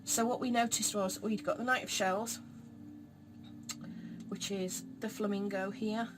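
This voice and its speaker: A woman, a bit throaty and croaky, giving a chatty, encouraging monthly tarot reading straight to her viewers. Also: mid-range voice, steady tone, a little slow, background noise. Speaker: tarot reader.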